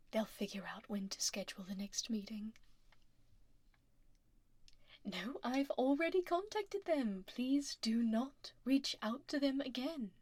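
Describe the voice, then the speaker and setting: A woman playing a powerful, composed dragon executive in an ASMR roleplay, speaking softly and breathily up close with a poised, gently commanding manner. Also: fairly high pitch, slightly rough, dry recording. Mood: firm, businesslike. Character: powerful composed female dragon executive boss, teasing, exacting. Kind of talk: soft-spoken ASMR roleplay. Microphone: close binaural microphone. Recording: dry close binaural studio recording.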